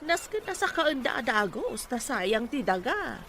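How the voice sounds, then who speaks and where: A woman's voice: on the high side, very animated, moderate pace, a bit bright, a little hiss or hum. A woman acting a proud, inquisitive village mother in an Ilocano drama, with an Ilocano accent. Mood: urging. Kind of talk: amateur Ilocano radio-style drama acting.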